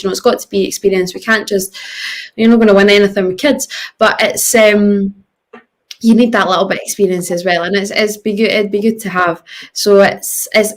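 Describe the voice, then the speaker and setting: A woman talking football on a podcast, hesitant with many fillers and restarts. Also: mid-range voice. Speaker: podcast panelist. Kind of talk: casual football podcast chat.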